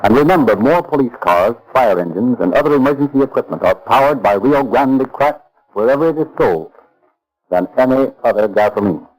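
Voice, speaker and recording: A man's voice: medium pitch, lively intonation, brisk, a little mellow, poor audio. A man announcing a sponsor's advertisement in polished, emphatic 1930s radio style, on a vintage recording.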